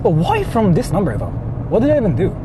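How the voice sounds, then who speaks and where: A young Japanese man speaks English with a Japanese accent, casually and excitably, swearing freely as he chats with his viewers. His voice sits high and leaps all over the place. Loud street traffic runs behind him. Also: fast talker. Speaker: streamer. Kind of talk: casual live-stream chat. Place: outdoors on city streets.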